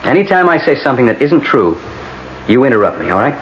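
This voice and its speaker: An actor playing a blunt, sardonic middle-aged American defense lawyer in a radio drama. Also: male voice, mid-range voice, very animated, quick pace, lightly acted. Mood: stern. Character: blunt, world-weary male defense lawyer. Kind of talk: 1980s radio drama acting. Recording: muffled, noisy old radio broadcast.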